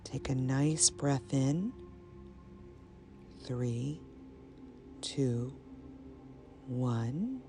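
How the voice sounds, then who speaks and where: A woman with a low-key, creaky voice leads a guided meditation over ambient music. Her delivery is extremely slow and soothing. She measures out short phrases with pauses between them. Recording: soft binaural music bed. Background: ambient binaural meditation music.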